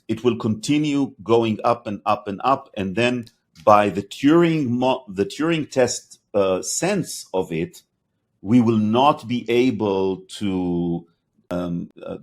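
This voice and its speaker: A man with a slight Hebrew accent hosting an interview podcast, talking in a halting way with many fillers and restarts. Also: on the low side. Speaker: podcast host. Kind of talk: interview conversation.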